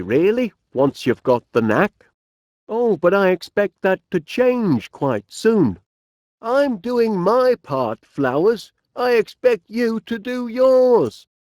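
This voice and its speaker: A man's voice: high voice, darkish tone, dry recording, studio quality, exaggerated voice. A man playing a cheery, eccentric middle-aged inventor in a cartoon video game, with a Northern English accent and a dotty, genial, musing manner.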